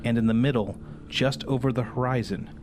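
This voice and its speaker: A man working as game master, narrating a fantasy horror tabletop game in a halting, explanatory way. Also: medium pitch, fairly even intonation, darkish tone, slight background noise.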